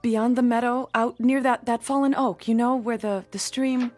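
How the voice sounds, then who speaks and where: Actress as an anxious, high-voiced young heiress. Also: female voice, steady tone, normal speed, a little mellow, no echo, soft background music, close to an everyday voice. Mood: frightened. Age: young adult. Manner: earnest, hesitant. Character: anxious young engaged heiress, a dutiful daughter. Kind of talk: radio drama acting.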